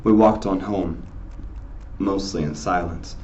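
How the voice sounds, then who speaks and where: Deep voiced guy, teacher reading a novel out loud, muffled sound w/ a bit of noise. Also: slow. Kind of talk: novel read-aloud narration.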